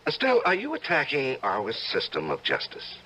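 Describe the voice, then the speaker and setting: An actor playing a coolly vain, secretly murderous judge and husband in an old-time radio drama, measured and urbane. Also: male voice, medium pitch, wildly swooping pitch, pushed and bright, a little hiss or hum. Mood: patronizing. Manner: measured, urbane, condescending to his wife.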